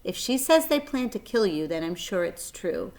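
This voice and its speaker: A woman voicing a composed, brisk, motherly female spider in a children's storybook, firm and measured. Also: medium pitch, sing-song, darkish tone, close to an everyday voice. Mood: thoughtful.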